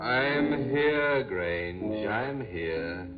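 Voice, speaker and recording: An actor playing the taunting, deliberate ghost of a murdered man in a 1940s radio horror drama. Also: mid-range voice, expressive. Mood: slow menace. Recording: muffled vintage 1940s radio recording, music behind.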